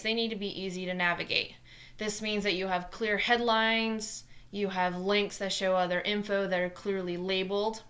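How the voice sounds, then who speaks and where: A woman in her twenties with a New Jersey accent, a math teacher talking fast and earnestly through a scripted lesson video. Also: medium pitch, sharp tone. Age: 20s.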